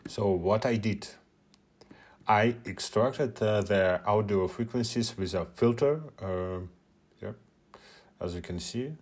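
A man's voice: lowish voice, slow pace, darkish tone, a little hiss or hum. A man, a non-native English speaker whose first language is Russian, giving a hesitant screen-share tutorial at a meetup with many filler sounds. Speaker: media artist presenting at a meetup.

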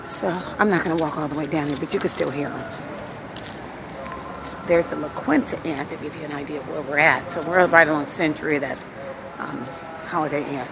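A woman's voice: low voice, brisk, creaky. A woman from Los Angeles with a Californian accent, narrating a casual handheld video as an aggrieved local resident in a matter-of-fact way. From Los Angeles, United States. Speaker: local resident documenting a noise problem. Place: mostly outdoors walking along apartment alley. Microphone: handheld phone. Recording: noisy outdoor phone recording, street noise.

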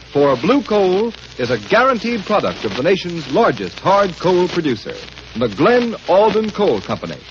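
A man with an American accent, an announcer reading a scripted 1930s radio advertisement with polished, emphatic salesmanship. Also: fairly high pitch. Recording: muffled, noisy vintage radio broadcast.

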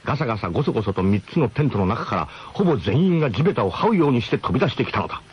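Animated male radio narrator, muffled noisy recording. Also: mid-range voice, racing. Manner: mock-grandiose, emphatic. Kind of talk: dramatic comic first-person radio storytelling. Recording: muffled radio broadcast with clear background noise.

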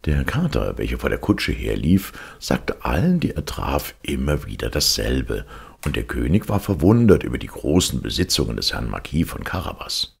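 Male fairy-tale narrator: extremely low, husky, highly animated. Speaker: narrator, audiobook reader.

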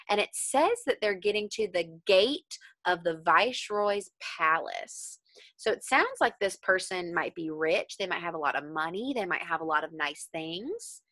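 A young adult woman teacher reading a story aloud to children and explaining it with simple, deliberate questions. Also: mid-range voice, sharp tone. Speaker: elementary school teacher.

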